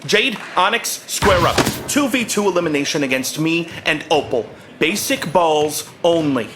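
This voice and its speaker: An actor playing a cocky, eager young crew captain in a cartoon, bossy but earnest. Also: male voice, a little high, expressive, moderate pace, reverberant, noisy background, natural voice.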